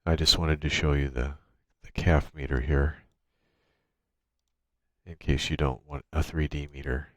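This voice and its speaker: A man with a deep voice presents an informal software walkthrough. He explains each step as he goes, speaking into a USB microphone. The sound is dry, with little room in it. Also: steady tone, a little slow, pauses often, dry recording. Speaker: tutorial presenter. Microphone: USB microphone through a noise gate.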